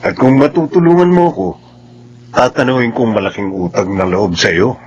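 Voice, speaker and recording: A man's voice: medium pitch, sing-song, moderate pace, darkish tone, highs cut off, close to an everyday voice. A man acting a humble, troubled old friend in need of help, speaking earnestly in a Filipino radio drama. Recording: faint hum and noise.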